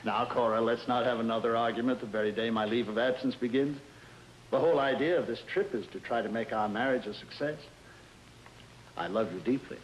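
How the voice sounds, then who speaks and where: Formal male judge, acted by a man for suspense drama; mid-range voice, precise, earnest, measured. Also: mid-range voice, a little hiss or hum, lightly acted, rough recording. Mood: earnest persuasion. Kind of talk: dramatic acting in an old-style suspense drama.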